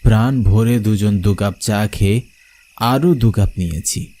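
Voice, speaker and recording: A man's voice: on the low side, a little slow, dark tone. A man narrating a scripted horror story in the first person, in a dramatic, suspenseful manner. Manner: suspenseful, theatrical.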